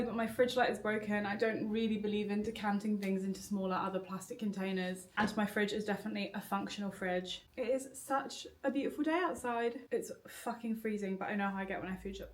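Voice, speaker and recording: A young woman vlogging on her phone in a relaxed, chatty way, a bit bunged up from a cold. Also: medium pitch, slight background noise. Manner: chatty and relaxed, rambling with frequent "like". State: congested, bunged up nose. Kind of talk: chatty vlog talk to camera. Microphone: phone camera.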